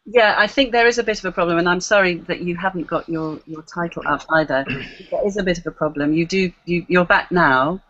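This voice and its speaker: A moderator leads an online panel with halting questions, often repeating words. The voice sits in a middle pitch. The sound is muffled, coming over a video call. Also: fairly bright tone. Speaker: discussion moderator.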